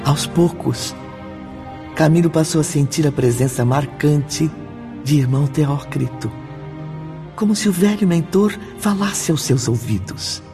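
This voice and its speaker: A man acting as the grave, sympathetic narrator of a radio drama, speaking formally and deliberately. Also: fairly high pitch. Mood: solemn narration. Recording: clear music under the voice. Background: background music.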